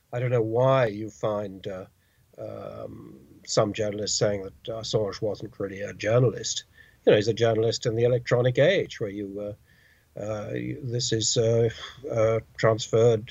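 Low, halting British man on a dull line. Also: creaky, darkish tone, breathy edge, dry recording. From Britain. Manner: halting, searching for words with many uh's. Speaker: journalist guest. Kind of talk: interview over the phone.

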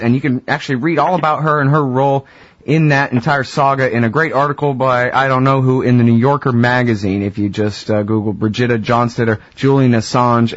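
A man's voice: mid-range voice, dry recording. A man hosting a radio interview show, chatting casually and informally with his guest. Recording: dull lo-fi radio audio.

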